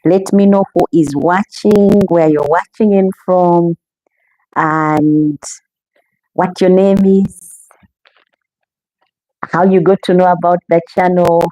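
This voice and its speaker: A woman's voice: lowish voice, fairly even intonation, slow, long pauses, no echo, low-quality recording. A woman from Uganda, hosting a casual live-stream podcast and greeting viewers in a chatty, welcoming way. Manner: warm welcoming, chatty, talks straight to viewers. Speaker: podcast host.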